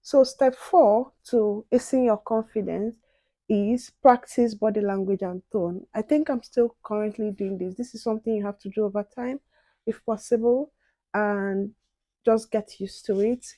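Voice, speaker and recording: Soft-spoken young woman, mellow tone. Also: mid-range voice, fairly even intonation, a little slow, dry recording. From outside the UK. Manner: soft-spoken, reflective, chatty asides to viewers. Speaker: beauty and advice vlogger. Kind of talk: casual chat while doing makeup.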